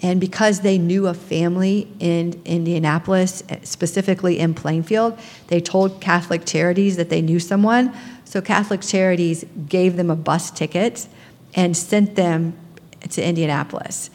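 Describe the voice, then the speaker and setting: A woman's voice: on the low side, steady tone, normal speed, a little mellow. A woman who runs a nonprofit, talking earnestly to a gathering, with many ums and restarts. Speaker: nonprofit director. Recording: long hall reverb.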